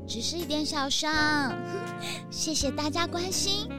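A woman's voice: high-pitched, slow, over music. A woman playing a dainty, sweet, evasive young lady in a children's detective audio drama, drawing out her words in a cutesy way. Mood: sweet, demure.

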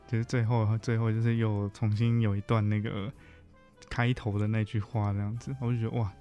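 A man with a Taiwanese Mandarin accent chatting casually as a guest counselor, haltingly and often correcting himself. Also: lowish voice, little pitch movement, dark tone, dull audio, soft background music. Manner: halting, self-correcting, frequent humming backchannels. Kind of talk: casual conversational interview.

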